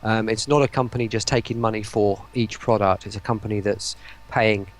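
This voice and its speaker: A British man chatting casually as an interview guest, in a halting way as he thinks aloud. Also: on the low side, fairly even intonation. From United Kingdom. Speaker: guest, voice pack producer.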